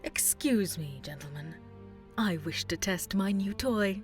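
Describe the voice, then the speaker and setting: A woman playing a cruel, regal dark elf warlord in an audio drama, imperious and languid. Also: mid-range voice, expressive, a little slow, lightly acted. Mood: languid cruelty. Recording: music under the voice. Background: music.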